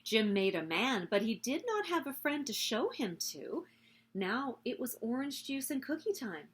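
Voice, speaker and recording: A woman hosting a story time, reading a picture book aloud in an expressive, animated way meant for young children. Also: fairly high pitch, a little slow, slight background noise.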